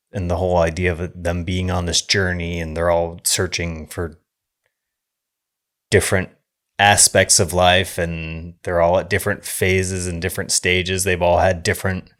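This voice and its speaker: A man with a low, deep voice talks through films in a casual discussion. His delivery is halting and wandering, with thoughts often left hanging as he starts the next one. He sounds like a podcast co-host chatting informally. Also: a bit bright. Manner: halting, rambling, trailing off mid-thought.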